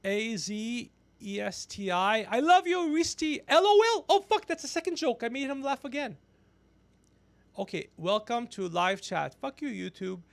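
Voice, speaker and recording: A man's voice: very high-pitched, animated, moderate pace, vocal fry, no room sound. A man in his fifties chatting casually on a livestream as host, brash and ranting, swearing freely. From Persian background. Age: 50s. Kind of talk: casual profane livestream chat.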